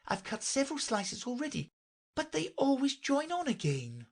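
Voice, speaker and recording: A man plays a curious young girl in a storybook. The voice sits far up in pitch, earnest and well-mannered, with a childlike lilt. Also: huge pitch swings, normal speed, heavily acted. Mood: exasperated. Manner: polite, earnest. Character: polite, curious young girl, easily puzzled. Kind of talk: storybook character reading.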